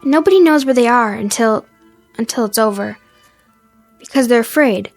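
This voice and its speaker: An actress in a radio drama playing an earnest, caring teenage daughter who questions anxiously. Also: female voice, a little high, moderate pace, faint music behind. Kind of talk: naturalistic radio drama acting.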